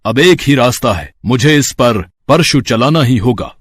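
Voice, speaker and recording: A proud warrior sage from a devotional storybook tale, acted by a man. He speaks formal, declamatory lines at a middle pitch. The sound is close and dry. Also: animated, unhurried, slightly rough, dry recording. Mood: alarmed, resolute. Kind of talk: acted dialogue in a Hindi mythological story.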